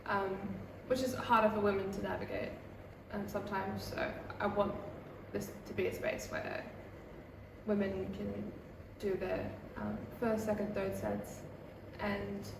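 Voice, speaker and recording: A young woman in her twenties talks about her work as a comedian in a relaxed, rambling way, full of fillers. Her voice sits in a mid range and comes from some distance away in an echoey room. Steady background noise runs under the whole recording. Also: medium pitch, a little slow, gravelly. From Australia. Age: 20s. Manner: relaxed, chatty, with many fillers like "um" and "like". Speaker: comedian and comedy night producer. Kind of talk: casual interview answers. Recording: echoey room, mic far off, noisy background.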